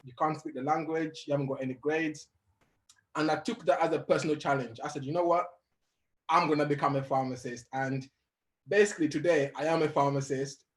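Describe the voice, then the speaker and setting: A young adult man who grew up in Uganda, telling his life story as a guest in an interview, animated and laughing as he goes. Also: fairly high pitch, fairly quick, poor audio. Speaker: guest motivational speaker.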